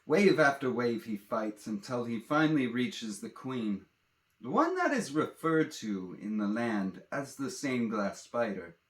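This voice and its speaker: Theatrical man storyteller, slow and animated. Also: mid-range voice. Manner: theatrical, addresses listeners directly. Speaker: storyteller and author reading his own fantasy tale. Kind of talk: dramatic fantasy storytelling. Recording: roomy echo.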